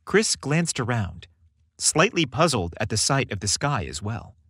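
A man narrating a scripted podcast story in an expressive, audiobook-like way. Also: medium pitch, a little slow, husky, no echo, clean studio sound.

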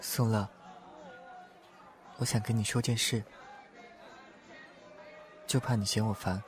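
A young man acting a gentle, reserved young man in a confiding audio drama role. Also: on the low side, steady tone, slow pace, some chatter behind. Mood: hesitant, confiding.

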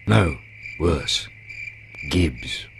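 A man's voice: extremely low pitch, expressive, drawn-out words, gravelly, background noise, heavily acted. A man voicing a glib, eccentric pirate captain in a video game, wry, flippant and theatrical. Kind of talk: video game cutscene acting.

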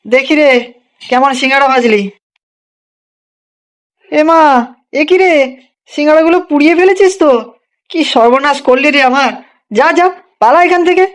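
A woman voicing an expressive village sweet-shop uncle, familiar and quick-tempered, in a children's cartoon. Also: high-pitched, natural voice. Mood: angry, dismayed. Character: busy village sweet-shop man, friendly but quick to anger.

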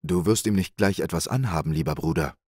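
A man voicing a pious, calm monk in a storybook tale, speaking in a gentle, measured way. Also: low voice, no room sound, studio quality. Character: pious calm male monk, older brother figure.

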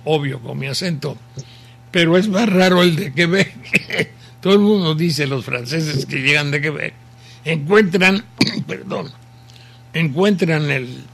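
A man's voice: fairly high pitch, gravelly, husky. An older man with a Mexican accent hosting a radio show, reading listener messages in a rambling, folksy way, with a sore throat. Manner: rambling, folksy, slow and unhurried. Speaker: radio host. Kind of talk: casual on-air commentary reading messages. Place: home study with bookshelves.

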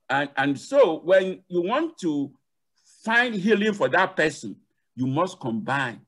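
An older man speaks as an academic guest on a video call. His voice sits fairly high and swings widely in pitch. He explains emphatically, repeating key phrases for weight. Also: fairly bright tone. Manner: emphatic, repeats key phrases, asks and answers own questions. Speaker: university lecturer, guest expert. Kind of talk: explanatory interview talk. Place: indoor room at home. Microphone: headset on a video call.

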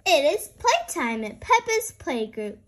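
A woman reading a picture book aloud for young children in an animated, deliberate storytelling manner. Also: sits high, slight background noise.

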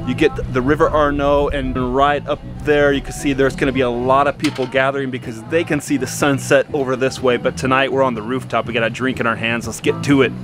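A man's voice: mid-range voice, level tone, fairly quick, few pauses. Enthusiastic male travel vlogger over music. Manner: enthusiastic, emphatic. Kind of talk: upbeat travel vlog presenting to camera. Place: outdoors, city streets and an open rooftop terrace. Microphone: handheld camera at arm's length.

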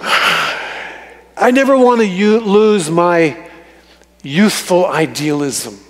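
A man in his fifties preaching a sermon to a congregation in an animated way, repeating phrases for emphasis. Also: high-pitched, drawn-out words. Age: fifties, fifty-four. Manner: animated, emphatic repetition for effect. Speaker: guest preacher. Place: large church sanctuary, on stage before congregation. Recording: reverberant church hall.

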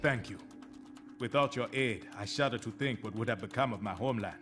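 Dignified male king voiced by an actor: formal, gracious, mid-pitched, game cutscene, faint music. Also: a little fast, close to an everyday voice. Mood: grave, grateful. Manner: formal, stately. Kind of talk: video game cutscene acting.

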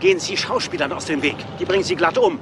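Ein junger, zynischer Studioboss, gesprochen von einem Mann, der knapp und sarkastisch über Geschäfte redet. Er spricht schnell mit etwas hoher Stimme. Ein deutliches Rauschen liegt unter der Aufnahme. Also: male voice, on the high side, background noise, close to an everyday voice. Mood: sardonic. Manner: brisk, sardonic, businesslike. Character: young, cynical film studio boss.

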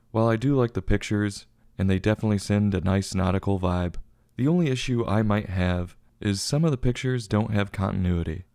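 A man's voice: fairly low pitch, vocal fry, a little mellow, no room sound, clean studio sound. A man hosting a review show, reading a scripted review in a tongue-in-cheek manner. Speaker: book review show host.

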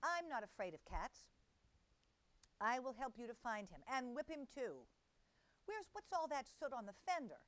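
A woman voicing a stern, sensible female cat neighbor who speaks brisk and firm. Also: fairly high pitch, big pitch swings, fairly bright tone, no echo.

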